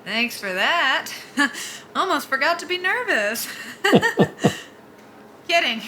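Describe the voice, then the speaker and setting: A woman's voice: high-pitched, sing-song, drawn-out words, pushed and bright, slight background noise, exaggerated voice. A woman playing a self-mocking soldier and job candidate in an audio drama, joking and sardonic in a casual way. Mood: wry, nervous. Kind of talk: naturalistic audio drama dialogue.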